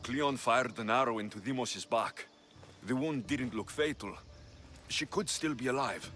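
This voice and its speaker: A man's voice: mid-range voice, unhurried, a bit bright, close to an everyday voice. A man voicing a vengeful, battle-weary ancient Greek warrior and grieving son in a dramatic video game, with grave, intense delivery. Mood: somber, weary. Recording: music under the dialogue.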